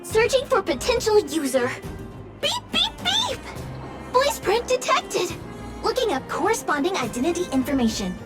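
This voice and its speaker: Casting: cheeky little gadget robot for a game; very high, swooping, clipped announcements over music. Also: female voice, huge pitch swings, normal speed, heavily acted. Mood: chirpy, eager. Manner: beeping, announcing in clipped fragments. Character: chirpy, cheeky little object-finder robot. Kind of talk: video game character acting.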